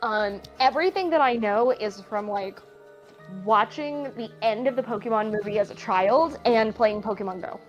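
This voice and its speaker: A woman co-hosting a podcast in casual chat, talking in quick bursts with many restarts. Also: a little high, dull audio, faint music behind. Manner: chatty, frequent restarts and laughter. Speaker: podcast co-host.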